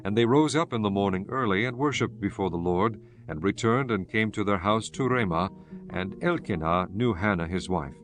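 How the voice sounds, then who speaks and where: A man narrating scripture from a script in a solemn, measured storytelling manner. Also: fairly low pitch. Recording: music bed behind the voice.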